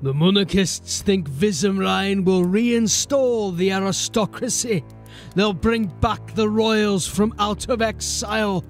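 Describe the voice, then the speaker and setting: A dying rebel played by a man actor speaks slowly in a creaky, breath-starved male voice. He is bitter and urgent, pleading as in a fantasy audio drama, with faint music behind him. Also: sits high. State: weak, coughing, labouring for breath.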